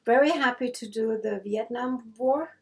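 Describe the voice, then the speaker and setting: A woman's voice: a little high, measured pace, vocal fry, a little mellow, slight background noise. Sincere woman with a German-English accent. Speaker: panel guest. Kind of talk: panel chat. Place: small studio with a world map.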